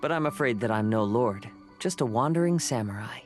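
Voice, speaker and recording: A man plays a gentle-mannered young wandering samurai in a video game. He speaks calmly and modestly at a middling pitch, over clear background music. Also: fairly quick, close to an everyday voice. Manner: calm, modest, courteous. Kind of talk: English game dub acting.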